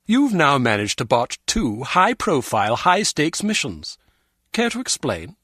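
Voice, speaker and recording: An actor playing a pompous intelligence superior in a video game, condescending and sarcastic, with formal phrasing. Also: male voice, medium pitch, huge pitch swings, measured pace, a bit bright, no echo, clean studio sound, full character voice. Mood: scornful.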